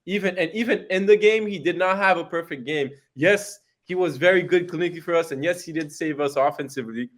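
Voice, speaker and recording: A young man with a Haitian accent, co-hosting a podcast and arguing about football with animated energy. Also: fairly high pitch, brisk, few pauses. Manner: animated, laughs and cuts in. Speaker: podcast co-host. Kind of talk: casual football debate.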